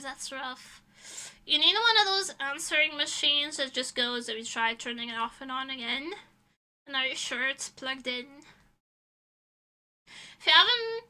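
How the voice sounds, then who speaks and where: A woman with a high, strikingly bright and sharp voice talks casually on a livestream. She rambles through her thoughts bluntly, swearing freely as she answers chat. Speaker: livestreamer, illustrator.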